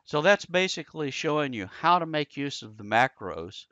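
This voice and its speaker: A man's voice: a little high, big pitch swings, moderate pace, sharp tone, no echo. Man narrating a tutorial, dull dry recording. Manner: explanatory, conversational, step-by-step. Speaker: tutorial instructor. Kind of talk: casual screen-share software tutorial narration. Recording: dull lo-fi close dry voice-over.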